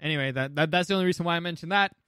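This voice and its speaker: A man's voice: a little high, very fast, pushed and bright, clear, resonant tone, dry recording, studio quality. A man co-hosting a casual talk show, giving blunt opinions with some swearing and stammered restarts as he runs through a movie ranking. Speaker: podcast co-host.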